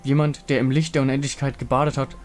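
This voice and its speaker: A man voicing a solemn, fatalistic warrior woman of the moon in a game story, grave, formal and measured. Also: mid-range voice, little pitch movement, lightly acted. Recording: music behind the voice.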